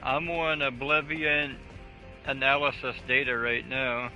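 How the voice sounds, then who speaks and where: A male game streamer with a very bright, forceful voice of medium pitch. He chats casually and bluntly, swearing and joking, on lo-fi audio with faint music behind. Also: little pitch movement, unhurried. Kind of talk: casual gaming chat.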